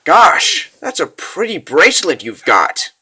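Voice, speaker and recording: A man's voice: fairly high pitch, huge pitch swings, a little slow, bright, forceful tone, slightly rough, pitched above the performer's own voice, heavily acted. A man voicing an eager, earnest young child adventurer in a comic dub.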